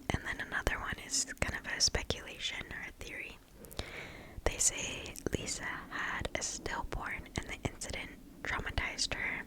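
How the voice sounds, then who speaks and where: A woman whispering softly and close to the microphone, reading stories aloud in an ASMR style with hushed, deliberate phrasing and pauses. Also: poor audio. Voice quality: soft unvoiced whisper.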